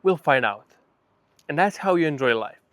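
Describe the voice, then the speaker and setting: Man, Serbian accent, slightly high voice, dry close sound, blunt casual motivational vlogger, emphatic, sweary. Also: lively intonation, moderate pace, a bit bright, no room sound. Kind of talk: casual motivational talk to camera.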